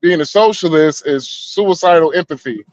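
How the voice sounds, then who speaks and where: High-voiced man in his thirties, rambling and profane. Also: normal speed, no echo, highs cut off. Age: 30s. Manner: rambling, profane, stops and restarts a lot. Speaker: podcast co-host. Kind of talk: heated casual debate talk.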